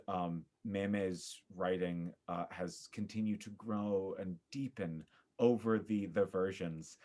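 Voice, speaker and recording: Male theater director as interview guest, lower voice, earnest, reflective, halting phrasing. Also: a little mellow.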